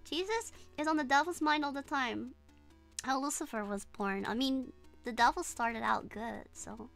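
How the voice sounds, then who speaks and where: A woman's voice: sits high, normal speed, gravelly, a bit bright. A young woman with a non-native English accent streaming a horror game, chatting with her viewers and shrieking and giggling when startled. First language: not English. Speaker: virtual streamer. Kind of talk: live gameplay commentary reading chat. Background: game music.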